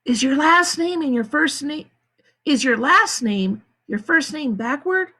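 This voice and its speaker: A woman reading aloud, voicing a weak, quiet boy with a dry, raspy voice. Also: high voice, lively intonation, measured pace, pitched above the performer's own voice, full character voice. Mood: weary.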